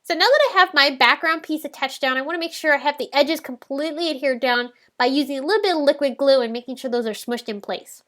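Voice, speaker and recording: High bright nasal woman with a cold. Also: fast talker, few pauses. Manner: calm explanatory walkthrough. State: stuffy from a head cold, nasal. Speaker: card-making tutorial host. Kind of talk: step-by-step crafting voice-over.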